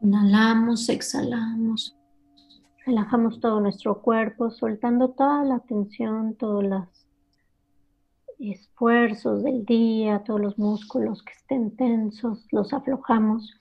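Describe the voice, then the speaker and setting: A woman with a fairly high voice guides a meditation. She gives calm instructions in short phrases and takes her time between them. The recording is somewhat dull and lo-fi. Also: takes it slowly, creaky.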